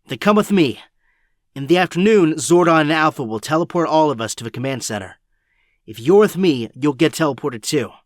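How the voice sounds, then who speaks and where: A man's voice: on the high side, animated, a little fast, dry recording, studio quality, lightly acted. A young man playing an anxious, earnest teenage boy hero in an audio drama, urgent and a little breathless. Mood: determined.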